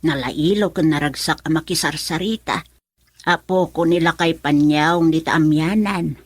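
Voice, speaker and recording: A woman's voice: sits low, normal speed, husky, dry recording. An actress playing a gossipy older mother in a radio drama, with an Ilocano accent. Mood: chatty, gossipy.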